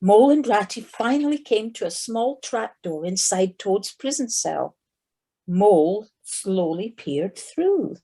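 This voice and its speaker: A woman with a husky voice narrating a scripted children's story for an audio play. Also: mid-range voice, animated, takes it slowly, warm tone.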